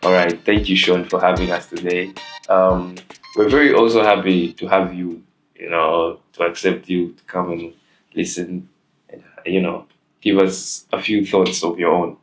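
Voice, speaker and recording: Deep-voiced young man hosting. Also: little pitch movement, unhurried, faint music behind, poor audio. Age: young adult. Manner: hesitant, with many fillers. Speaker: host. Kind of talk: casual interview.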